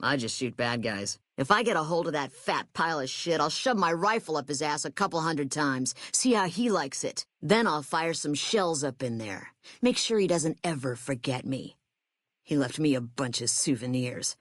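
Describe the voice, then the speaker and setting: Low female voice, hard-bitten military sniper, blunt, sardonic, tough, dry close recording, video game dialogue. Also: animated, normal speed, fairly bright tone, no echo. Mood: dry, matter-of-fact, then bitter, vengeful. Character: brash, hard-bitten young female army sniper corporal.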